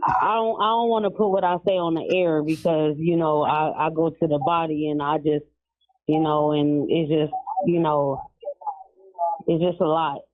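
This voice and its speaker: A woman from California calling in to a radio show, speaking haltingly with lots of fillers. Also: sits low, steady tone. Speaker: caller. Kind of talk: phoned-in comment. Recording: tinny phone line.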